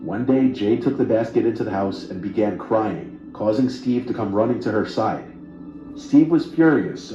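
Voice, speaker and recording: Dark-voiced man narrating creepy stories. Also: on the low side, roomy sound, highs cut off. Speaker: horror story narrator. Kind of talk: scripted narration of a creepy true story. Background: music.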